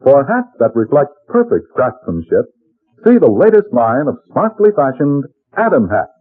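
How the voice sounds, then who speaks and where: A jovial old-time radio announcer selling goods. His husky, mid-pitched voice rises and falls hugely with folksy polish, dry and narrow on a vintage recording. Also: dry recording.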